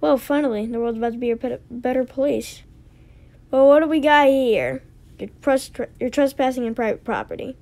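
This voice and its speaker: A woman voicing characters in an amateur animated story, reading scripted lines and sometimes stumbling over them. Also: sits high, normal speed.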